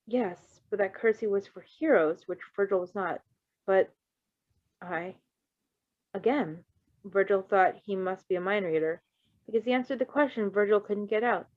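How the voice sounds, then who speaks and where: A woman reads fiction aloud in an expressive way and drops into playful, chatty asides. The sound is dry and close, slightly dull at the top. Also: mid-range voice, moderate pace, no echo. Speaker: fan fiction reader. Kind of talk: story narration with playful asides.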